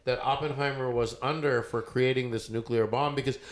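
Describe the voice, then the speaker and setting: A middle-aged man with an American accent, a podcast host talking excitedly in a joking and profane way, often cutting in, on a handheld mic. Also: medium pitch, fairly quick. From born in India. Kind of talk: casual chat. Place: living room podcast set. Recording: roomy living room sound.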